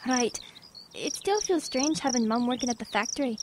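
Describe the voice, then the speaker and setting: An eager English schoolboy , acted by a woman, with a high-pitched voice in an audio drama. He is curious and boyish, and there is clear noise with small clicks behind him. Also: expressive, moderate pace, lightly acted. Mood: chatty, confiding. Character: eager, curious British schoolboy named Nigel. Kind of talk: radio drama acting.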